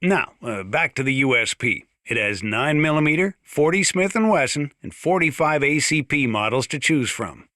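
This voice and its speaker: Gruff old sheriff, American accent, folksy, plain-spoken, sharp forceful tone, dry close sound, game radio monologue. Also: male voice, a little high, lively intonation, moderate pace, few pauses, no room sound. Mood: folksy, opinionated. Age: older.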